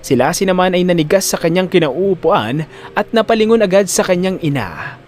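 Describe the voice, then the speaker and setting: A man's voice: sits high, expressive, moderate pace, slight background noise. A man narrating a scripted audio drama in a dramatic storytelling style.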